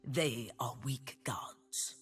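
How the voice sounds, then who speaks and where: A woman's voice: very deep voice, drawn-out words, slightly rough, heavily acted. A woman playing a fierce warrior priestess of a harsh sea god in a video game, speaking in solemn, commanding declarations. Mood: solemn conviction. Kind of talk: video game character voice lines. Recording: game audio with music behind.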